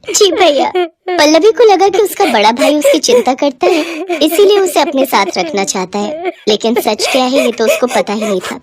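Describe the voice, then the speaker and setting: A woman's voice: sits high, lively intonation, normal speed, a crowd in the background. A woman reading a scripted family story as a storyteller.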